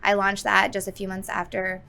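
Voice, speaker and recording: A woman's voice: mid-range voice, completely flat delivery, a little fast, creaky. A woman in her thirties, born in Texas and raised in the Northeast US, chatting as a podcast guest in a friendly, easygoing way. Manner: friendly, warm, often laughing, uses fillers. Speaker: guest, hairstylist and entrepreneur. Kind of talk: casual interview chat.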